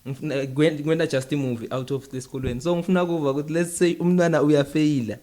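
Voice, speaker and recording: A man's voice: fairly high pitch, steady tone, rapid-fire, mellow. A South African man hosting a casual interview, asking questions while switching between local vernacular and English. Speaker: interviewer, host.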